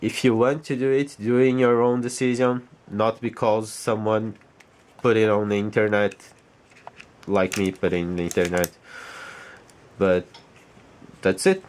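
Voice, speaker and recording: Slow, halting low-voiced man. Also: steady tone, darkish tone, slight background noise. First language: Portuguese. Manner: hesitant, halting, repeats words while searching for English. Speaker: vlogger. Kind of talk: casual rambling vlog.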